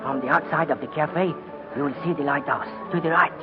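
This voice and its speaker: A man's voice: a little high, fairly quick, lightly acted. An actor playing a terse, furtive French fishing boatman with a French accent in a 1940s radio drama. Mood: hushed, urgent. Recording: muffled old radio recording with music.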